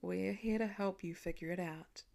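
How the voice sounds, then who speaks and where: A woman's voice: fairly low pitch, fairly even intonation, moderate pace, vocal fry, darkish tone. A woman voicing a loving, practical mother in a storybook reading, soothing and matter-of-fact. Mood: reassuring.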